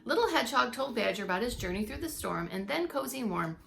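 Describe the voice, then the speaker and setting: Bright, creaky female children's storyteller. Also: fairly high pitch, big pitch swings, fast, a little hiss or hum. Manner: dramatic emphasis, questioning asides to listeners. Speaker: preschool teacher. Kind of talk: expressive read-aloud storytelling for young children.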